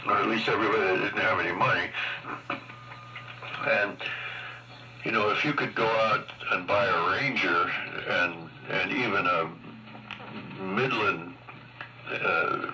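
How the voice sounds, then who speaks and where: A man's voice: on the low side, distant mic. An older man chatting casually and ramblingly as an amateur radio operator, heard over a radio transmission. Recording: muffled, noisy ham radio transmission.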